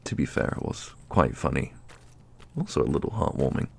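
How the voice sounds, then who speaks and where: A man's voice: very deep voice, huge pitch swings, brisk, gravelly, husky, exaggerated voice. A man playing an earnest, formal and guarded warrior in a fantasy audio roleplay. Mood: dry.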